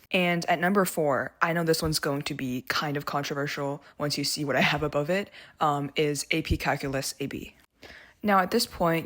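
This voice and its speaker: A woman's voice: low voice, a bit bright. A young adult woman with an American accent, talking casually and emphatically as she explains things in a vlog. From United States. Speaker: student video creator.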